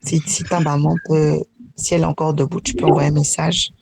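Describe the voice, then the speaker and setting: A woman's voice: sits low, level tone, a little fast, creaky, a little mellow, a little hiss or hum, rough recording. A woman leading a prayer meeting as a prophetess, speaking spontaneously and repeating phrases as she searches for words.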